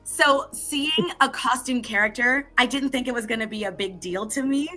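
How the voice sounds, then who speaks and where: A woman with a hoarse voice chats away as a podcast guest, laughing freely as she goes. Her tone is bright and forward and sits a bit high. She sounds like she is on a remote call mic. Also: moderate pace, soft background music. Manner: chatty, laughs often. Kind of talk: casual chat.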